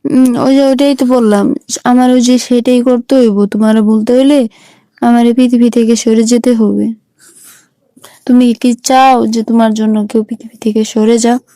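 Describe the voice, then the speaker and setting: Heartbroken young Bangladeshi sweetheart played by a woman, reproachful, mellow, very dry close sound, phone-call drama. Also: on the high side, fairly even intonation, normal speed, no echo, natural voice. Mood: hurt, reproachful. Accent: Bangladeshi Bengali. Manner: reproachful, plaintive.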